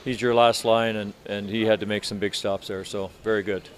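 An older man in his sixties, a hockey coach answering reporters after a game, speaking in a measured, halting way with many restarts. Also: fairly low pitch, little pitch movement, a little hiss or hum. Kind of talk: postgame press interview. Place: arena media room in front of sponsor backdrop.

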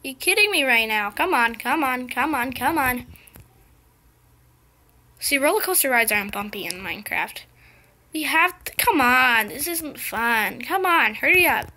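A young girl commentating her gameplay in a casual, excited, chatty way, her voice slightly scratchy. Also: high voice, measured pace. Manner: excited, chatty, impatient exclamations. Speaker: gameplay video host.

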